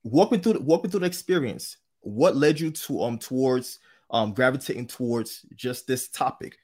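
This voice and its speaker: A man's voice: mid-range voice, normal speed, darkish tone. A man hosting an interview podcast, upbeat and chatty, with frequent fillers and restarts. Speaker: podcast host.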